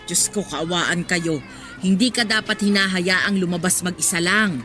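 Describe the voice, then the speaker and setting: A woman's voice: lowish voice, normal speed, over music, lightly acted. Woman playing nosy, pious older village lady. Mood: preachy. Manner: scolding, insistent, moralizing. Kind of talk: radio drama dialogue.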